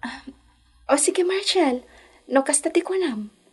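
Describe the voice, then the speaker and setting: An actress with a Filipino Ilocano accent playing a respectful nineteen-year-old daughter in love in a radio drama. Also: female voice, high-pitched, expressive, unhurried. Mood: shy, affectionate.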